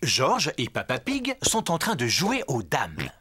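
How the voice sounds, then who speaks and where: A man narrating a children's cartoon in a clear, lively, amused storybook manner. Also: mid-range voice, unhurried, soft background music, full character voice.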